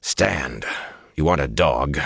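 A man voicing a hard young lord in an audiobook fantasy tale, cold and rough, giving terse commands and threats. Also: low voice, very animated, normal speed, fairly bright tone, no echo, studio quality. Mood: cold, threatening.

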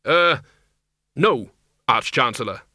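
A man's voice: medium pitch, lively intonation, takes it slowly, pushed and bright, no room sound, studio quality, heavily acted. A man voicing an eager, gung-ho wizard dean in an audiobook, boyishly enthusiastic yet deferential to his chief. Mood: sheepish.

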